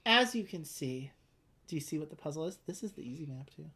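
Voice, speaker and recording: A woman's voice: deep voice, animated, fast talker, bright, forceful tone, slightly rough. A woman hosting a board game playthrough with casual commentary and a wry, bawdy sense of humour.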